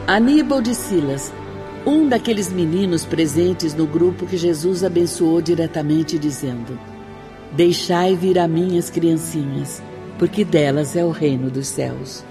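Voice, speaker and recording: A woman's voice: low-pitched, measured pace. A Brazilian woman acting an exalted spirit sister who instructs souls in formal, reverent radio-drama oratory. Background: music.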